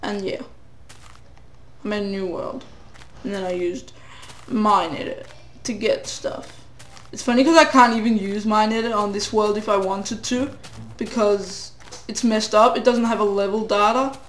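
Teen gamer with a cold, high-ish voice, rambling, echoey home room; casual commentary. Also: male voice, unhurried, long pauses, fairly bright tone, a little hiss or hum. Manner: rambling, self-deprecating, sing-song asides. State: a cold, voice getting hoarse.

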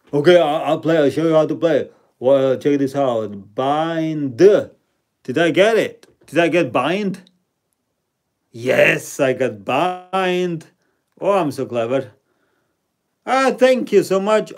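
A man's voice: fairly high pitch, darkish tone. A man, chatting playfully with viewers while he plays a word game on a live stream at home. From Latvia. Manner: playful, self-mocking, reads out chat names and rankings. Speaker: livestream host. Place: indoor room at home.

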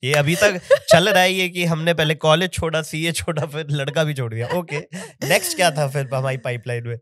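A man's voice: fairly high pitch, fairly quick, fairly bright tone. A man, hosting a casual podcast interview, teasing playfully and laughing often. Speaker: podcast host. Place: small indoor podcast studio. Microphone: studio mic on boom arm.

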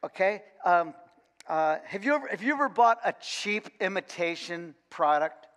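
An older man preaching a sermon in a folksy, animated way, full of repeated words and "okay?" asides. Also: high-pitched, normal speed. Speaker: pastor. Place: large church sanctuary, indoors. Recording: long reverb of a church hall.

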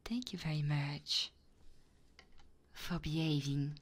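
A woman streamer doing ASMR and chat in a soft, intimate voice that often drops into whispers, close to the microphone. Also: sits low, slow pace. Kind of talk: ASMR roleplay and chatting livestream.

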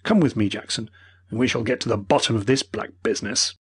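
Man putting on a furious indignant curator, husky, loud and slow, voice jumping way up. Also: high-pitched, very animated, pitched above the performer's own voice, heavily acted. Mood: outraged. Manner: loud, outraged, drawn-out exclamations. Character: indignant male museum curator, outraged.

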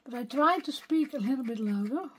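A Dutch woman speaking English, her voice weak and partly gone, softly thinking aloud as she works through a craft tutorial at her desk. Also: high-pitched, animated, a little hiss or hum. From Netherlands. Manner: soft-spoken, unhurried, talks to herself while working. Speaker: craft video maker. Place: craft desk at home, filmed from overhead.

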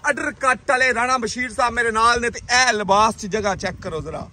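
Punjabi-accented young male farm vlogger, high bright voice, salesman patter, outdoor shed on a handheld phone. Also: normal speed. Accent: Pakistani Punjabi. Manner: chatty salesman patter, repeating stock phrases. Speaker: vlogger showing dairy cattle. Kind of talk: lively livestock sales tour.

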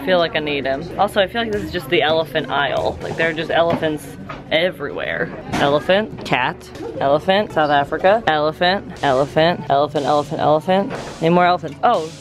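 Female vlogger, 20s, slightly low voice, casual to-camera chat, clear music underneath. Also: expressive, brisk. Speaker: daily vlogger. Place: handheld vlogging in streets, shops and rooms at home. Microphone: camera held at arm's length.

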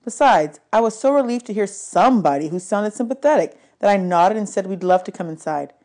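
A woman's voice: medium pitch, lively intonation, brisk, darkish tone. A woman narrating a novel aloud as an audiobook reader. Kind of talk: read-aloud story narration.

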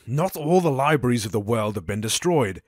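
An actor playing a cultured, commanding gang boss in an audio drama, speaking in a measured, formal, theatrical way. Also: male voice, medium pitch, expressive, no room sound, clean studio sound, natural voice. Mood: smugly pleased.

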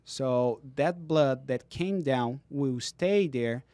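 Man, thirties, physical therapist, earnest explainer, mellow tone, dry studio sound. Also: mid-range voice, slow, no room sound. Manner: earnest, explanatory, non-native phrasing. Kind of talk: explaining in casual interview. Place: dark podcast studio. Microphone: studio mic on boom arm, headphones.

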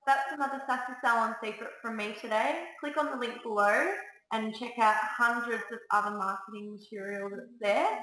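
A woman's voice: a little high, steady tone, normal speed, pushed and bright. Upbeat woman in an echoey salon room. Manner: chatty, upbeat, with fillers. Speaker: salon owner giving business tips. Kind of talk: direct-to-camera business advice. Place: small salon room, indoors.

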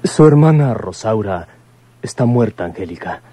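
A man playing a grave, considerate son in a radio drama, speaking politely and haltingly. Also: fairly low pitch, animated, warm tone, natural voice. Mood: somber. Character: grave, considerate son breaking bad news.

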